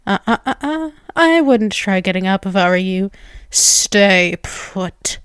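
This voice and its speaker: A woman's voice: mid-range voice, expressive, a bit bright, clean tone, no room sound, clean studio sound. A woman voicing a dominant, ageless vampiress in an ASMR roleplay, teasing and condescending, drawing out her words. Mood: taunting, commanding. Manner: slow, condescending, teasing, with drawn-out words and chuckles. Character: dominant, taunting ageless vampiress toying with a captive human.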